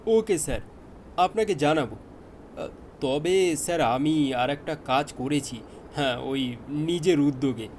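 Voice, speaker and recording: A man's voice: on the high side, measured pace, darkish tone, slight background noise, natural voice. An actor playing a diligent, deferential police inspector, explaining his findings with respectful hesitation in an audio crime drama. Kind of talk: Bengali audio detective drama acting.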